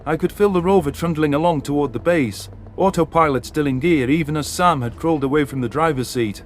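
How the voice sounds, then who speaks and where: A man's voice: on the high side, a little fast, slight background noise. A man narrating a science fiction story in the first person with dramatic delivery. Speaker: audiobook narrator. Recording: narration over background music.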